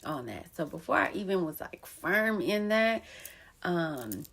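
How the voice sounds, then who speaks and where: A woman's voice: on the low side, very animated, a little slow, vocal fry, fairly bright tone, slight background noise. A young woman telling her own story of betrayal in an emphatic, casual way with plenty of slang. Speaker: poet and storyteller. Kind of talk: candid personal storytelling.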